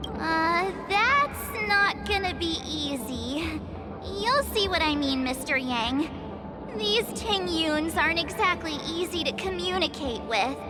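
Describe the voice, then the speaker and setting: Cheeky, bubbly young heroine. Very high voice, unhurried delivery, big game score behind. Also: female voice, exaggerated voice. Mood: exasperated. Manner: perky, animated, playfully smug. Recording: loud game music behind.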